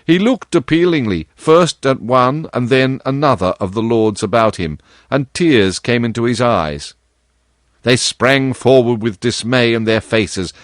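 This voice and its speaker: A man reading scripted storybook narration as an audiobook narrator, expressive and measured. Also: mid-range voice, no echo, studio quality.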